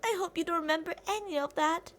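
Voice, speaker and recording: A woman's voice: very high voice, quick pace, a little hiss or hum. A woman playing an obsessive, delusional female kidnapper who rambles theatrically and teasingly to a captive in an ASMR roleplay. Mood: chatty, complaining.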